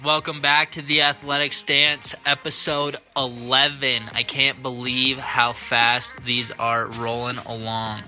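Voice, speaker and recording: A man's voice: medium pitch, sharp tone. A man hosting a podcast, giving an upbeat, enthusiastic welcome and introducing his guest. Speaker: podcast host.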